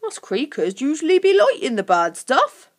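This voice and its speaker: A woman's voice: high-pitched, wildly swooping pitch, moderate pace, voice pushed up. A woman voicing an eager, dim, nasty monster with broken creature grammar in a storybook.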